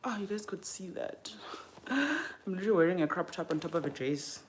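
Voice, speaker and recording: A young South African woman hosts a casual livestream in a slightly low voice. She is chatty and informal, thinking aloud as she goes and often letting sentences trail off before picking up a new one. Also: lively intonation, a little fast, slight background noise. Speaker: livestream host. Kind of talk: casual chat while doing makeup.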